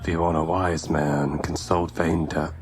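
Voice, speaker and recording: An actor in an audio drama playing a gruff, dry-witted barkeep with a curt, grudging way of talking. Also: male voice, rumbling low, level tone, a little slow, noisy background. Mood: wry, hesitant. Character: gruff, dry, grumbling male fantasy barkeep.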